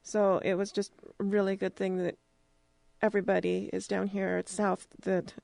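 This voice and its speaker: A woman's voice: medium pitch, level tone, moderate pace, creaky, a little mellow, no room sound. American woman, mid-range voice, casual interviewer, chatty informal phrasing, dry room-free sound. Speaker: interviewer, radio drama producer.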